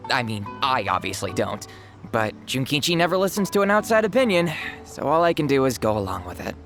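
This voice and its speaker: A man's voice: mid-range voice, big pitch swings, brisk, fairly bright tone. A man voicing a weary, skeptical editor in a video game, dry and exasperated. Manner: exasperated, sighing. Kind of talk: game voice acting. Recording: game audio with faint music.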